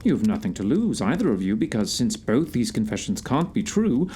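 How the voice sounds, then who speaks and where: Guy doing a composed, commanding detective, formal and precise, very lively intonation, noisy audio drama recording. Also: medium pitch, fairly quick, close to an everyday voice. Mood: commanding, methodical. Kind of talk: audio theatre acting.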